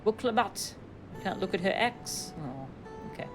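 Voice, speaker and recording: A woman's voice: mid-range voice, lively intonation, unhurried, music in the background, low-quality recording. A woman game streamer chatting casually and thinking aloud in short asides as she plays. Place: live stream of a video game.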